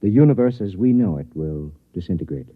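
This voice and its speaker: Through a thin, vintage radio recording comes a solemn professor. His low voice is husky and measured, formal in every phrase, like a scientist delivering terrible news in a 1950s radio play. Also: lively intonation, narrow, tinny audio. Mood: somber, resigned. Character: grave scientist professor bearing news of doom. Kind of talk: 1950s radio drama acting.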